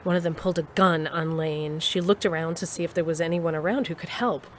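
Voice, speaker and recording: A woman's voice: on the low side, fairly quick, slight background noise, lightly acted. A woman playing a plain-spoken, dryly humorous older narrator telling her adventures in an audio drama. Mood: wry. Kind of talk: scripted first-person narration in audio drama.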